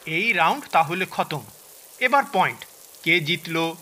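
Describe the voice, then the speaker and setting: A man acting as a brisk quiz moderator at a family contest in an audio drama. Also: on the high side, animated, sharp tone, a little hiss or hum, heavily acted.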